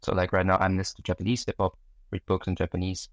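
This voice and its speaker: Low-voiced man chatting, dry close sound. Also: completely flat delivery, quick pace, no room sound. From Germany. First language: German. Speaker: interview guest. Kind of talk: casual interview chat.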